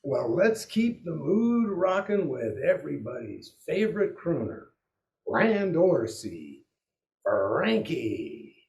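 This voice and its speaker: Guy playing genial 40s radio show host, kinda high voice, echoey room. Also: huge pitch swings, slow pace, darkish tone, exaggerated voice. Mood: chatty. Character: genial 1940s radio variety show announcer. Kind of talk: 1940s radio show acting.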